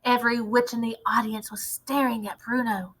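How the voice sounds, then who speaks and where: A woman reading a children's story aloud as an expressive storyteller. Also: a little high, normal speed, breathy edge, rough recording. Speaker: read-aloud storyteller, teacher.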